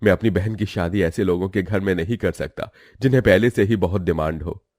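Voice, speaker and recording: A man plays a poor but proud elder brother in a family drama. He is earnest, firm and protective, with a deep, dry-recorded voice. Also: brisk, a little mellow, dry recording. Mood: indignant.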